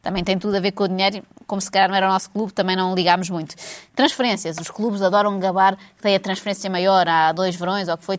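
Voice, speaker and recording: A woman with a European Portuguese accent, co-hosting a casual chat and ranting sarcastically about football and money. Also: medium pitch. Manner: sarcastic, animated rant.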